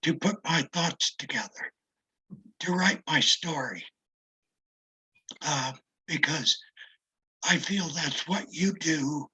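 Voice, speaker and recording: A retired older man talks in a raspy, strained voice that often drops to a whisper. He speaks slowly and reflectively, with a self-deprecating manner, and the tone sits bright and tight despite the damage. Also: fairly high pitch, low-quality recording. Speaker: interview guest. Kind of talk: casual conversation.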